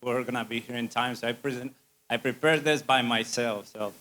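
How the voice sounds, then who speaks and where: A man's voice: mid-range voice, fairly even intonation, brisk, bright, forceful tone. A man from Spain with a Spanish accent gives an informal presentation to an audience as a business owner.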